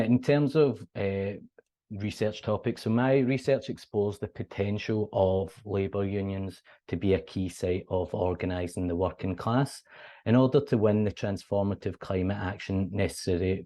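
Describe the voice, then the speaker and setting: A man's voice: sits low, a little slow, mellow. A young Scottish man, a PhD student, giving a friendly, earnest academic presentation over a video call. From Scotland. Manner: friendly, earnest, with casual fillers.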